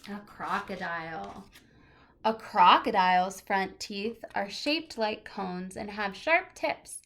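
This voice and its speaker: A woman's voice: medium pitch, slow, a bit bright. A woman teacher reading a children's nonfiction picture book aloud, stressing key words expressively and adding casual asides.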